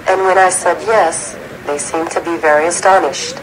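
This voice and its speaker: A woman from Korea, a native Korean speaker with a Korean accent, sharing a prepared personal story in careful, deliberate phrasing. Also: on the low side, fairly even intonation, crowd noise, poor audio.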